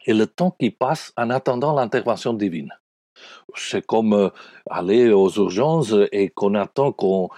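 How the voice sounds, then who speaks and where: Italian-accented pastor, dark and lively. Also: male voice, mid-range voice, no echo, clean studio sound. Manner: earnest, pastoral, addresses listeners as friends. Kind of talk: radio devotional message.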